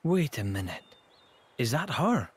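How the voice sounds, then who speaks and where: A man's voice: mid-range voice, sing-song, unhurried, breathy edge. An actor playing a formal, courteous, modest nobleman in a game cutscene, with music behind the voice. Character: courtly, modest nobleman, loyal old friend of a prince. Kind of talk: video game cutscene acting.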